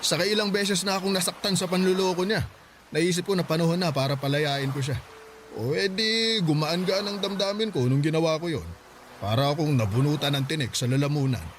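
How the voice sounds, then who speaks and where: Male actor as hardworking Filipino dad of 45, tired but reassuring, earnest radio drama delivery over clear background ambience. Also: on the high side, brisk, background noise, natural voice. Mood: earnest, advising. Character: devoted, hardworking 45-year-old father, tired but reassuring.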